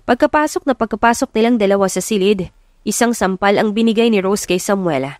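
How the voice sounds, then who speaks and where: A woman's voice: mid-range voice, animated, brisk, darkish tone, no room sound. Woman narrating romance, close dry sound. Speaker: audiobook narrator. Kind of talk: scripted dramatic romance narration.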